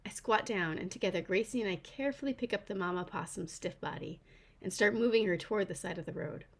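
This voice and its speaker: A woman, an author, reading her children's novel aloud in an animated, informal way. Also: medium pitch, quick pace, creaky.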